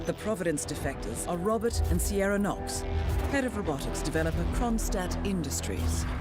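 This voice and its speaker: An actress playing a composed female mission handler, crisp and poised, with a dry wit. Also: fairly low pitch. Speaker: game character, mission handler. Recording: game audio over loud music.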